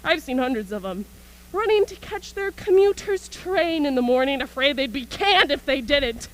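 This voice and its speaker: A woman's voice: high voice, expressive, moderate pace, fairly bright tone, low-quality recording. A woman acting an embittered, manic militia soldier in a stage radio play, ranting in colloquial speech about surviving an invasion. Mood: defiant, manic. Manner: colloquial, ranting, punctuated by scornful laughs. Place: school auxiliary gym.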